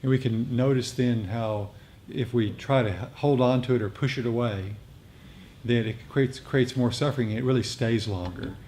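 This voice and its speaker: A man leading a meditation group, speaking off the cuff in a reflective, halting way with repeated phrases. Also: mid-range voice, a little mellow. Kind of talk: unscripted reflective talk on meditation practice. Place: meditation group meeting room. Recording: noisy live room recording.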